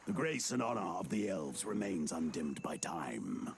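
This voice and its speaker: A man's voice: sits low, big pitch swings, a little slow, creaky, heavily acted. An actor playing a dignified human lord asking refuge for his people, courteous and pleading. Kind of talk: video game cutscene acting.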